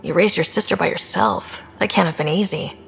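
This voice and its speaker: An actress playing an earnest, idealistic young human explorer who leads a team in a video game, direct and sincere. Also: female voice, on the low side, brisk, gravelly, a bit bright, a little hiss or hum, low-quality recording. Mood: sympathetic, reassuring. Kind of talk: naturalistic video game acting.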